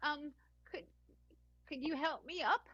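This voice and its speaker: A woman voicing an injured female stage performer who speaks weakly and haltingly in an improvised radio play. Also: high voice, pushed and bright. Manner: weak, halting speech. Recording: distant, muffled lo-fi sound.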